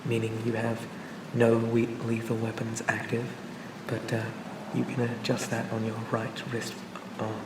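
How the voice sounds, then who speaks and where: A man playing a charming, pleasant AI assistant for a police officer in a soothing, gently reassuring ASMR roleplay. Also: medium pitch, monotone, a little slow, hardly any pauses, roomy sound, recorded from a distance, noisy background, lightly acted. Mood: calm, reassuring. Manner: gentle, hesitant, reassuring, conversational.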